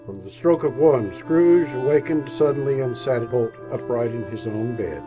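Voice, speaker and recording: A man's voice: mid-range voice, normal speed, music in the background. A man narrating as a radio announcer, reading scripted old-time drama in a theatrical storytelling manner.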